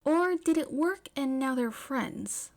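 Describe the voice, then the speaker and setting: A woman's voice: sits high, lively intonation, slow pace, darkish tone. A woman reading scripted fiction aloud as a story narrator.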